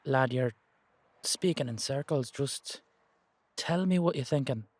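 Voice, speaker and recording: A man playing a gruff, blunt supernatural Dullahan with an or in an audio roleplay. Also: mid-range voice, unhurried, no echo, natural voice. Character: gruff older male Dullahan with a brogue, calling others lad.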